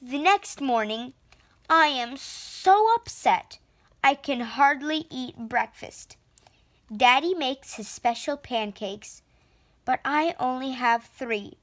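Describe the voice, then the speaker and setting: A woman reading a children's story aloud with expressive, deliberate phrasing. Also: high-pitched. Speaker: storybook reader. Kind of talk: children's read-aloud narration.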